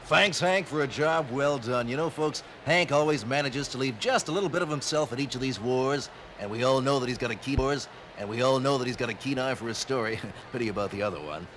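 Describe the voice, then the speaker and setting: A man playing an excitable TV sportscaster who gives brash, booming play-by-play. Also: brisk, slight background noise, close to an everyday voice. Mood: excited. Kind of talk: mock sports commentary.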